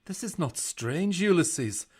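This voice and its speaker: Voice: medium pitch, animated, takes it slowly, husky. An actor playing a proud, touchy male Greek warrior in a Shakespearean audio drama, haughty and blunt. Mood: dismissive.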